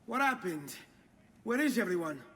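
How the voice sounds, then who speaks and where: An actor with an Italian accent playing a brash young nobleman in a video game, husky voiced. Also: high voice, big pitch swings, normal speed, pushed and bright, big-room sound, exaggerated voice. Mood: urgent, worried. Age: young adult. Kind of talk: scripted video game acting.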